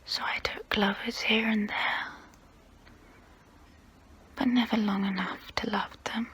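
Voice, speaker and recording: Woman playing a slow, whispering, seductive vampire. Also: medium pitch, steady tone, a bit bright, exaggerated voice. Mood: melancholy. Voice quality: breathy whisper. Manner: slow, intimate, deliberate delivery. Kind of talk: ASMR roleplay monologue, close-up whispering.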